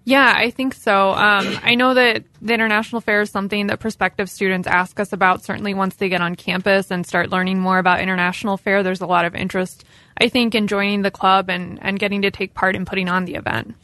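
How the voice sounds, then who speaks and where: Female staff member, medium pitch, clear explainer, dry studio mic. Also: little pitch movement, quick pace, bright, forceful tone, dry recording. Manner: informative, with "um" fillers. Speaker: college staff administrator. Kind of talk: radio interview guest.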